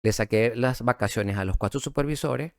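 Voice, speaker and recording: A middle-aged man with a Venezuelan accent, telling his story as a guest in a casual interview, relaxed and reflective, with many fillers and restarts. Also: mid-range voice, normal speed, vocal fry, no echo, studio quality.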